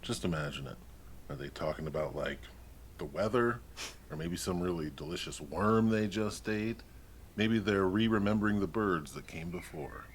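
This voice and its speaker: A male actor plays an eager, easygoing young man. His voice is lowish and lively in pitch, with faint noise behind it. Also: moderate pace. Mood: musing. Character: easygoing, eager, supportive male partner, dreamy. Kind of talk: naturalistic stage acting in a livestreamed play.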